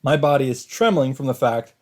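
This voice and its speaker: A man's voice: mid-range voice, lively intonation, fairly quick, darkish tone. A man let's player reading visual novel narration dramatically, with casual commentary of his own. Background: game music.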